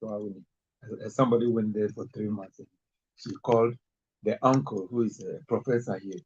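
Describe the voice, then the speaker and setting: A man from Ghana co-hosts a casual chat, telling anecdotes in a chatty, laughing way. His voice is a little husky. The recording sounds dull and lo-fi. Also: fairly low pitch, fairly even intonation, a little fast, darkish tone.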